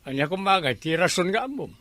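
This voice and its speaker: A comic Ilocano villager in a radio drama, excitable and greedy. His voice is pitched extremely high, almost in a woman's range. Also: fast, dry recording. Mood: curious, excited.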